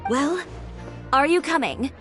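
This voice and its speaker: A woman's voice: high-pitched, lively intonation, slow pace, music in the background. A woman playing a blunt, fiery young fashion designer in a video game, curt and confident. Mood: coolly brisk. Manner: curt, determined, dryly confident. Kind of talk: English video game voice acting.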